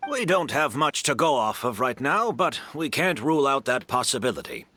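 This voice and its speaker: A man's voice: mid-range voice, lively intonation, moderate pace, sharp tone, a little hiss or hum. A man playing a modest, gracious older master perfumer and mentor in a video game. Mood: explaining. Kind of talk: video game character acting.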